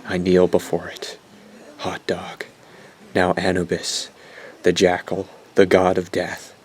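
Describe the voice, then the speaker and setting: A man acts as a bitter young survivor telling his own grim story in a radio drama. His voice is very low and barely varies, brooding and resigned, with some ambient noise behind it. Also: completely flat delivery, takes it slowly, a little mellow. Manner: brooding, self-loathing, low-key. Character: bitter, guilt-ridden young man narrating a zombie apocalypse. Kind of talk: first-person radio drama narration.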